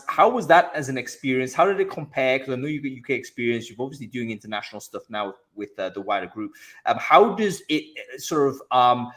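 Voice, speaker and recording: A man's voice: mid-range voice, a bit bright. Guy hosting a chat show, keen and rambly, lots of ums, sums up what the guest said. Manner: enthusiastic, rephrases and sums up guest's points. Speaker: podcast host. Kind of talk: casual interview chat.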